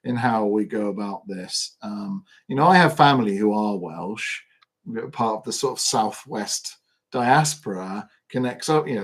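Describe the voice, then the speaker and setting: A man's voice: on the low side, husky. An English man co-hosting a podcast livestream, chatting casually and reflectively, often laughing. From England. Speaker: podcast co-host.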